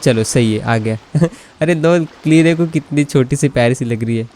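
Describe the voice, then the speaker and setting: A man's voice: mid-range voice, racing, warm tone, a little hiss or hum. A man with an Indian accent and native Hindi, giving casual, giggly gameplay commentary that mixes Hindi and English. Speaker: gaming YouTuber.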